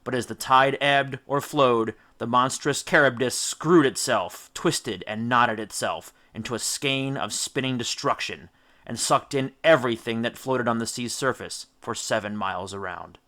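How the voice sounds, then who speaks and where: A man reading an epic story aloud as an audiobook, with theatrical, emphatic delivery and deliberate dramatic stresses. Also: mid-range voice, bright, forceful tone. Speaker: audiobook narrator. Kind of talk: dramatic scripted storybook narration.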